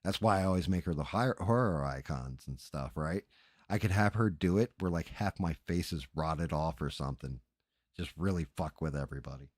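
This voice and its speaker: A man's voice: low-pitched, a little fast, dry recording. A middle-aged man chatting casually as a livestream host, crude and joking in a rambling, sweary way.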